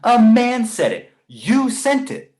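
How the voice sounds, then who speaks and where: A man's voice: very high voice, wildly swooping pitch, slow pace, darkish tone, soft background music, full character voice. Male comedy table read: actor as exasperated, cautious editor friend, widely swinging intonation.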